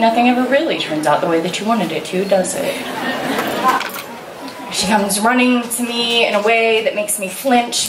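A woman in her thirties performing a spoken word poem on stage, earnest and measured, speaking into a stand mic. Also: medium pitch, noisy background. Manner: measured, earnest delivery with rhetorical questions to the audience. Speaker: slam poet. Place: stage in a large hall. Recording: echoey hall, taken from far off.

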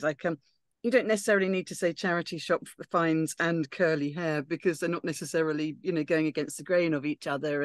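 A woman's voice: low-pitched, no room sound. Thoughtful woman, dry close sound. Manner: thoughtful, hesitant, lots of um and you know. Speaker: script reading panelist. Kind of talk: casual video-call discussion. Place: home room. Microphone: video call.

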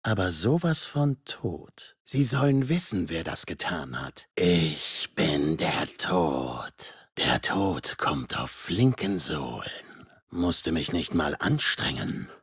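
A menacing game villain, a masked killer with a deep, rough voice. He taunts his victims with swooping intonation and dry, cruel mockery. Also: expressive, fairly bright tone, no echo, exaggerated voice. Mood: cold, menacing. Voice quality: raspy, husky. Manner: sardonic, taunting, drawn-out menace. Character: masked male slasher killer, mocking, menacing, foul-mouthed. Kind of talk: video game combat voicelines.

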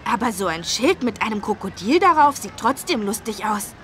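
A woman's voice: mid-range voice, big pitch swings, moderate pace, slight background noise, close to an everyday voice. A woman voicing a curious, eager little boy in a children's learning game, with a chirpy manner. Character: bright curious little boy, elephant's young friend.

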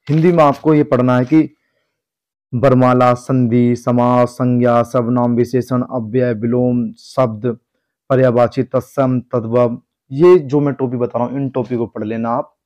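North indian guy, exam coach talking straight to students, warm dark-ish voice, mid pitch, repeats his advice. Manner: instructive, repeats advice, addresses students directly. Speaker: exam coaching teacher, former police employee. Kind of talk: informal exam guidance lesson.

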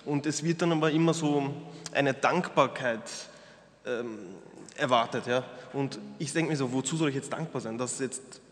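A man's voice: medium pitch, normal speed. A young man from Austria, a panel guest speaking frankly and earnestly, with some hesitant fillers, at a table microphone. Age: young adult. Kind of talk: panel discussion. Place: wood-panelled hall, indoors. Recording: reverberant hall with faint noise.

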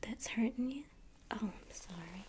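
A young woman playing a sweetly menacing female circus clown in an ASMR roleplay, coaxing and teasing in a breathy whisper. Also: fairly high pitch, lively intonation, normal speed, darkish tone, slight background noise, lightly acted. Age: young adult. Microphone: close ASMR microphone. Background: music.

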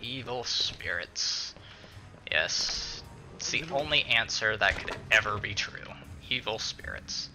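A man's voice: on the low side, takes it slowly, music in the background. A man giving casual let's-play commentary, muttering to himself and swearing mildly as he plays. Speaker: gaming video host.

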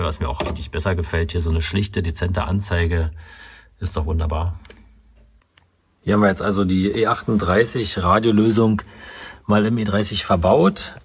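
Low-voiced man explaining car wiring. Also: husky. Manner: casual, explanatory, with frequent fillers. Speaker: car mechanic and video host. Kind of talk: hands-on workshop walkthrough. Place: indoor car workshop beside a stripped car.

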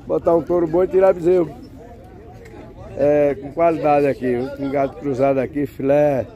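A man's voice: on the high side, steady tone, moderate pace, dull audio. A man with a Northeastern Brazilian accent, chatting casually as he tours a cattle fair and asks sellers for prices. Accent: Brazilian Northeastern Portuguese. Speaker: vlogger touring a cattle fair. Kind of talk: casual on-the-spot commentary. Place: outdoor cattle fair, crowded pens. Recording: outdoor fair with crowd chatter. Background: crowd chatter and livestock.

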